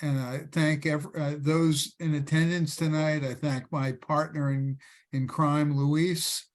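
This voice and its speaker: Philly guy hosting a video call panel, kinda high voice, lots of uhs and restarts. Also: flat delivery. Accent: Philadelphia. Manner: chatty, quick restarts with many fillers. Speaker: host. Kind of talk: online panel discussion.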